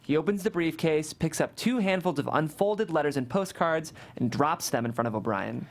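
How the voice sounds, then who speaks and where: A man reads scripted stage directions from a screenplay. His voice sits a little high for a man, and he delivers the action as narration for a table read. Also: moderate pace.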